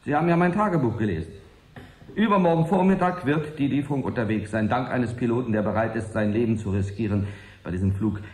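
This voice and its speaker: A man's voice: medium pitch, fast talker, darkish tone, reverberant, close to an everyday voice. An actor playing a principled, wary and determined scientist in a radio drama, earnest and indignant in his delivery. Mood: firm, curt. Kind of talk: 1980s German radio drama acting.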